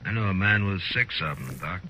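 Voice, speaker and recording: An actor playing a gruff, unflappable frontier marshal in an old-time radio western, speaking tersely and with dry authority. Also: male voice, low voice, lively intonation, a little fast, sharp tone, a little hiss or hum. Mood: dry, probing. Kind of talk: old-time radio western acting and first-person narration.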